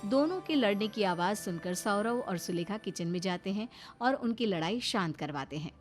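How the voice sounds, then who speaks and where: A woman's voice: mid-range voice, animated, normal speed, soft background music. A woman narrator reading a scripted family story.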